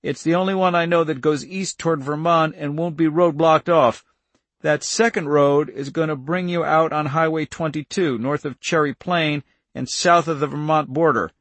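Man acting a gruff, folksy country farmer, pitched up. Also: steady tone, moderate pace, a bit bright, no echo. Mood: urgent, plainspoken. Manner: folksy, drops into rural idiom. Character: plainspoken rural farmer, helpful, urgent, gruff but kindly man.

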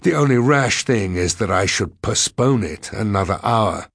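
A man's voice: fairly low pitch, animated, normal speed, vocal fry, a bit bright, dry recording, studio quality, pitched above the performer's own voice, full character voice. A man voicing a tormented, vengeful professor in an audiobook, impassioned and melodramatic. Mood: desperate.

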